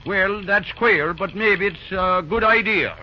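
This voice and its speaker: A man's voice: high-pitched, takes it slowly. An actor playing an agreeable railroad trainman in an old-time radio drama. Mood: puzzled. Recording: dull, noisy vintage radio recording.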